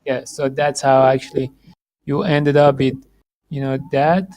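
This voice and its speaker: Halting male podcast host, dry sound. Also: medium pitch, little pitch movement, a little mellow, no room sound, poor audio. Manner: halting, many restarts and fillers, laughs often. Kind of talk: casual interview chat.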